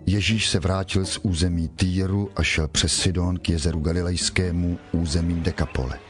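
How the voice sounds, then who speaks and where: A man narrating scripture from a script in a solemn, measured manner. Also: deep voice, steady tone. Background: music.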